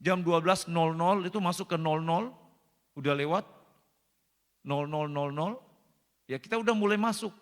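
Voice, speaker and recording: A man's voice: on the high side, normal speed, long pauses. A man preaching a sermon as pastor, speaking straight to the congregation, repeating his points and pushing certain words hard. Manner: repeats phrases, addresses congregation directly, emphatic rises. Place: church hall. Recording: long church-hall reverb.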